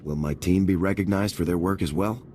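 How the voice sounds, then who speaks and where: Man playing a deep-voiced, steady army major. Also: slight background noise, natural voice. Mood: respectful. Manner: measured, respectful soldierly delivery. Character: steady, respectful male military major, squad leader. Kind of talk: video game cutscene voice acting.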